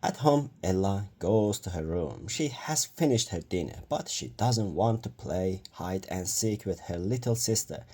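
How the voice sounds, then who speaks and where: A man's voice: low-pitched, expressive, unhurried, few pauses, dark tone. A man reading a children's bedtime story aloud in a careful manner, stumbling now and then over words.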